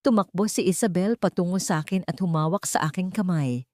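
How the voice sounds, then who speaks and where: Low-voiced Filipino woman telling a dramatic story. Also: fairly quick, darkish tone, no echo, studio quality. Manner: rises in pitch and volume for quoted dialogue. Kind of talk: dramatic first-person audio story narration.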